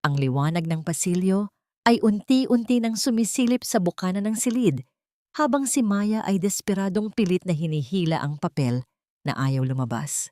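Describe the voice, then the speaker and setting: Low-voiced Filipino woman narrating a story. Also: lively intonation, moderate pace, mellow, dry recording, clean studio sound. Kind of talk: scripted dramatic story narration.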